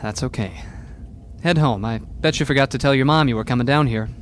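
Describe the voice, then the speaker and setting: A man playing a stern, weary middle-aged father and prosecutor in a radio drama, speaking in a measured, admonishing way. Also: medium pitch, slight background noise, lightly acted. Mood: softened.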